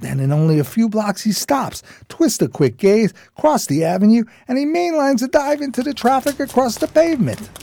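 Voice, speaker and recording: An actor playing a streetwise, homeless New buddy, narrating a radio drama in folksy, admiring slang. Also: male voice, extremely high pitch, expressive, moderate pace, vocal fry, few pauses, dark tone, exaggerated voice. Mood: wry storytelling.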